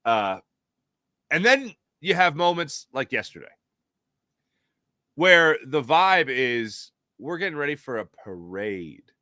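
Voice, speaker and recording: An American man with a bright, forward voice delivers a casual sports monologue as a show host. He sounds emphatic and conversational, like someone telling stories to regular listeners. The recording is dry and close, with very little room sound. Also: a little high, sing-song, takes it slowly, pauses often, dry recording. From Philadelphia area, United States.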